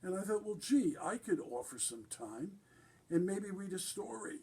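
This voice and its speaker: Kindly Santa Claus played by a man, husky and slightly high-voiced, gently reading a storybook to children with folksy asides. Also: very animated, normal speed, full character voice. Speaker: guest reader at a benefit concert.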